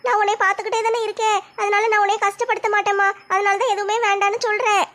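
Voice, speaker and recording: A woman voicing a modest, loving teenage daughter in a family skit, with a soft, pleading way of talking. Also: extremely high pitch, level tone, very fast.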